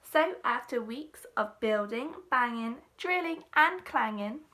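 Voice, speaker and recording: A woman's voice: a little high, expressive, slow pace, pushed and bright. A woman teacher reading a children's storybook aloud expressively, with dramatic emphasis.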